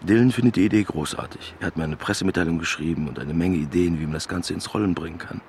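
A man's voice: deep voice, quick pace, darkish tone, a little hiss or hum. An actor playing a stubborn, weary working-class father in a naturalistic German radio drama. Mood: subdued, confiding. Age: middle-aged.

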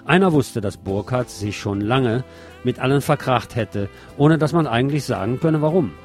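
A man with a mid-pitched voice reads a prepared article aloud in the role of a radio host. His delivery is scripted narration, and music plays faintly behind him. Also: lively intonation, moderate pace.

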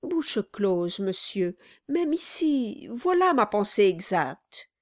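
A woman's voice: medium pitch, sing-song, slow pace. Woman doing a fawning, timid lawyer. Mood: wheedling. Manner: fawning, wheedling, deferential. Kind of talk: audiobook reading.

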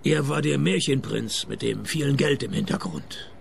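An actor playing a sardonic private detective who narrates a radio play in a dry, deadpan, mocking tone. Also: male voice, medium pitch, moderate pace, vocal fry, a little hiss or hum. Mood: questioning. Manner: deadpan, sarcastic.

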